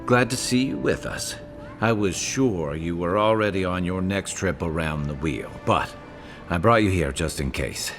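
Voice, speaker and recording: A man playing a gruff, wry and loyal travelling companion in a fantasy video game, talking in a conversational, dryly humorous way. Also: low voice, a little slow, a bit bright, faint music behind. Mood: relieved, concerned.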